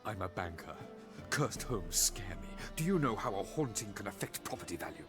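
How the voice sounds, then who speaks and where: An actor playing a fussy, proud banker in a fantasy video game, with formal, pedantic speech. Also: male voice, fairly low pitch, sing-song, normal speed, music in the background. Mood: wary, haughty.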